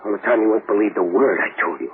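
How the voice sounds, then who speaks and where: An actor playing a desperate man accused of murdering his wife, talking in a gruff, intense voice broken by grief, in 1940s radio drama style. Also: on the low side, fast, lightly acted. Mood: grim, demanding. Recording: tinny old radio broadcast.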